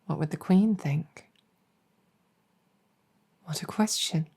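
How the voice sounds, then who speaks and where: A woman playing a gentle, earnest, world-weary demon general in an ASMR roleplay, speaking softly and reassuringly with measured hesitation. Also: low-pitched, husky, full character voice. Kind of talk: improvised ASMR roleplay storytelling.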